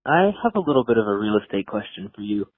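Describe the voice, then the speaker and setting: A man's voice: on the low side, very animated, fast, no echo, faint music behind. A man leaving a voicemail as a caller, casually telling a story over the telephone. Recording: tinny, narrow phone line, dry.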